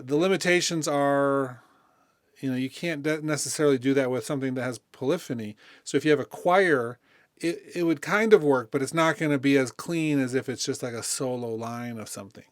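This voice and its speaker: Casual man walking through music software, dry sound. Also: a little high, a little fast, no echo. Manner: thinking aloud, conversational. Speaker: music software tutorial host. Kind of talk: casual unscripted software demonstration.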